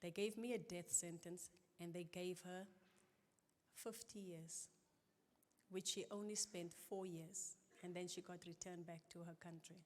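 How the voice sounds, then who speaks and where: A middle-aged woman giving an earnest, halting and emotional personal testimony at a church conference. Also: on the low side, steady tone. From South Africa. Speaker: conference guest speaker. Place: church auditorium. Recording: long reverb of a large church hall.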